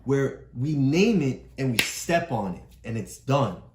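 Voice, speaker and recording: Low-voiced, lively encouraging woman. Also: measured pace, roomy sound, noisy background. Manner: encouraging, keeps checking understanding. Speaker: parent coaching a child through a read-aloud. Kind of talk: explaining a story to a child.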